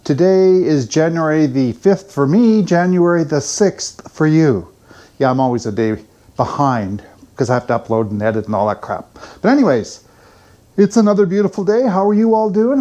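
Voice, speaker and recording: A man's voice: sits high, moderate pace, warm tone. An older man chatting casually in a daily vlog, with a folksy, rambling, self-deprecating way of talking. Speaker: vlogger.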